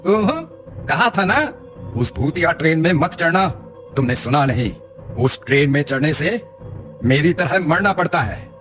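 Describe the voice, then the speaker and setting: A crazed ghost man for an animated horror tale, high-pitched and slow. He taunts eerily, swooping through drawn-out words and cackles. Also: soft background music. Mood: taunting.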